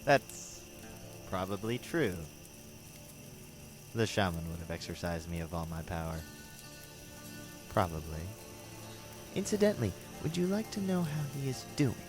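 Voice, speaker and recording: A man's voice: low-pitched, big pitch swings, unhurried, pauses often, over music. A man playing a devilish, mocking demon tempter in a fan audio drama, speaking with theatrical mock courtesy and ornate words. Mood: taunting.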